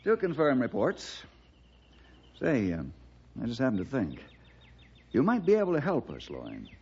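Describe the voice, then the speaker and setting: Calm, inquisitive ranger, American, husky, lively intonation, old-time radio drama. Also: male voice, mid-range voice, measured pace, exaggerated voice. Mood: calm, friendly. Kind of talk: 1960s radio drama acting. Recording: dull vintage radio recording.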